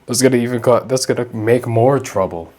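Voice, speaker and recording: A woman's voice: extremely low pitch, brisk, mellow. A woman giving casual, blunt and sarcastic reaction commentary over a game. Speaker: gaming video creator.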